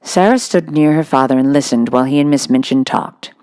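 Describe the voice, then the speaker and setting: A woman's voice: extremely low pitch, fairly quick, darkish tone, dry recording. A woman reading a children's novel aloud as scripted storybook narration. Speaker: audiobook reader.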